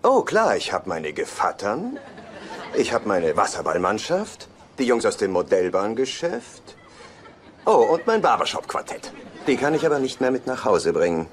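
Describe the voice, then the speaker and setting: A man's voice: fairly low pitch, huge pitch swings, moderate pace, some chatter behind, slight background noise. A man playing a naive, henpecked husband in a dubbed sitcom, chummy and quaint in his way of talking. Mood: eager, chummy. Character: goofy, naive, henpecked husband, eager to be pals.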